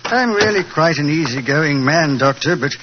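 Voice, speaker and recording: An actor with a British accent playing a touchy, sardonic English husband and proud butterfly collector in a 1940s radio drama, on an old recording. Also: male voice, on the high side, expressive, normal speed, lo-fi sound, noisy background, natural voice. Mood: proud, genteel.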